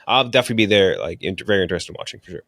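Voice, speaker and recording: A man with a fairly low voice speaks as a podcast host in casual review chat. His manner is informal and blunt, and the sound is dry, with very little room on it. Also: very fast, fairly bright tone, no room sound.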